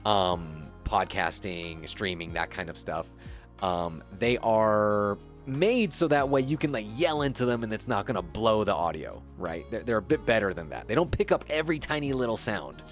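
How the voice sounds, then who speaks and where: Animated rambling guy streaming a game. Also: lowish voice, moderate pace. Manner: rambling, searching for words, self-correcting. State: slightly stuffy. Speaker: gaming streamer. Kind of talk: casual gameplay chat. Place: home streaming setup. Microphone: dynamic streaming microphone. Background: faint background music.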